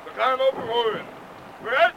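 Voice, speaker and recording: An actor playing a gruff, decisive lifeboat skipper who barks blunt orders in a radio play. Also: male voice, extremely high pitch, animated, moderate pace. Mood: urgent command. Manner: commanding, blunt, barking orders. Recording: noisy outdoor storm sound effects.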